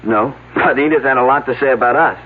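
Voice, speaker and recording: A man's voice: medium pitch, fairly quick, breathy edge, lightly acted. An actor playing an earnest, slightly flustered young man from an archaeological dig, answering questions in a mystery drama. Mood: earnest, recounting. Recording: narrow, slightly noisy phone-like old broadcast audio.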